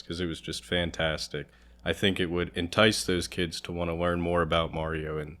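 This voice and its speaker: A man's voice: low-pitched, fairly bright tone. A young man giving his opinions as co-host of a casual, conversational movie review. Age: young adult.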